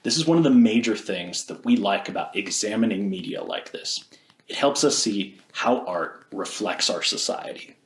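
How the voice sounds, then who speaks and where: A man's voice: on the low side, expressive, normal speed. An American man co-hosting a video essay with scripted narration, recorded with audible room echo. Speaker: video essay host and teacher.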